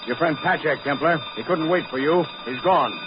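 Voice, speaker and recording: An actor playing a gruff, weary, embittered prison warden with a blunt, clipped manner in an old-time radio drama. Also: male voice, fairly high pitch, moderate pace, lightly acted. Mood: urgent, grim. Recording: muffled old radio recording, faint hiss.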